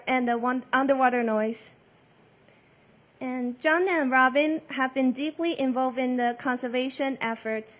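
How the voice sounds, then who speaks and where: A woman's voice: sits high, lo-fi sound. A woman whose first language is Mandarin, moderating a press conference and reading prepared introductions carefully, stumbling and correcting herself.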